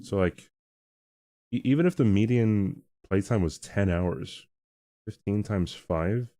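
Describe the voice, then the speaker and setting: A man's voice: lowish voice, dry recording. A young man streaming a game who chats casually with his audience, often chuckling and stopping to restart his sentences. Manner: chuckling, halting and relaxed.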